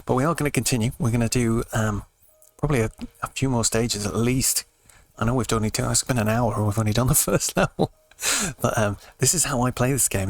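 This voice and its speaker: Croaky British man, casual gaming commentary. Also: medium pitch. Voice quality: slightly croaky. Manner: laid-back, explanatory, informal. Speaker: let's play host.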